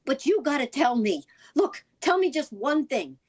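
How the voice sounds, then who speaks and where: A woman's voice: high voice, sing-song, normal speed. A woman playing an anxious, insistent wife who pleads urgently, in vintage radio drama style. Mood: urgent pleading.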